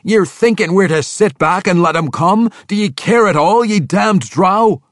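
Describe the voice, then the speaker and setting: High, strained young dwarf brute, gruff brogue, taunting; audiobook, dry read. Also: male voice, sing-song, takes it slowly, dry recording, heavily acted. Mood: belligerent. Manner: belligerent, taunting. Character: brash young male dwarf warrior, hostile. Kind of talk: audiobook character voice.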